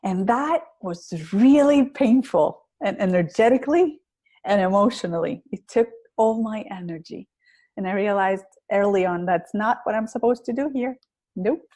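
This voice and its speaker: A woman's voice: medium pitch, animated, normal speed, warm tone. A woman with a heavy Greek accent, a public speaking coach being interviewed on a podcast, talking in an earnest, reflective way with some hesitations. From Greece.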